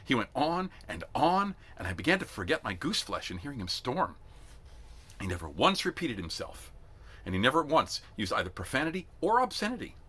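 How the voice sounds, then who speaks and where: Expressive man narrating, forceful and husky. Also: mid-range voice, a little fast. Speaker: amateur reader. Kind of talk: expressive literary read-aloud narration.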